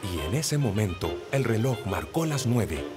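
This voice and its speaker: A man's voice: fairly low pitch, sing-song, measured pace, loud music behind the voice. A man with a Latin American Spanish accent reading scripted narration for a children's cartoon.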